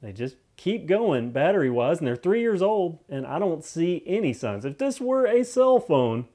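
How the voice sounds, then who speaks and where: A man's voice: a little high, sing-song, normal speed, mellow. A man giving a casual, unscripted product review, thinking aloud and repeating phrases for emphasis in a conversational way.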